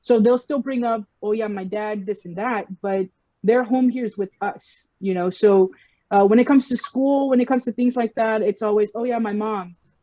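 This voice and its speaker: Frank woman chatting, mellow muffled sound. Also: fairly high pitch, steady tone, moderate pace, no echo. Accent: South Texas. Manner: frank, conversational, many fillers. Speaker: guest speaker. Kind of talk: casual podcast conversation.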